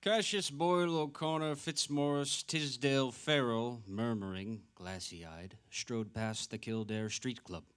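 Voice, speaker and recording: A man's voice: medium pitch, a little slow, hardly any pauses, a bit bright, clean tone. Male narrator, scripted literary prose for the stage, mid-range voice.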